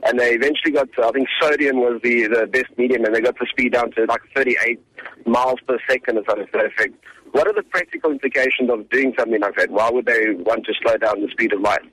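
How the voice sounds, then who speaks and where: A man's voice: mid-range voice, fast, fairly bright tone, slightly rough, poor audio. A man calling a radio show with a question, hesitant and searching for words. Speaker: caller.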